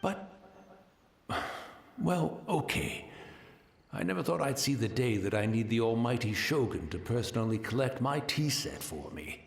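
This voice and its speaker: Old tea keeper, flustered and deferential, very sing-song, roomy sound. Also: male voice, medium pitch, sing-song, a little slow, vocal fry. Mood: fussy. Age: older. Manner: fussy, overly polite, flustered. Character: elderly male tea house keeper, fussy, deferential. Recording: game dialogue, roomy, music behind.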